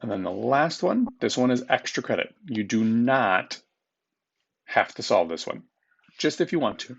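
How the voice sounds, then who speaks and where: A man's voice: medium pitch, very animated, moderate pace, gravelly, dull audio. A man speaking as a teacher, reading test questions aloud to students in an informal, instructive manner and repeating them.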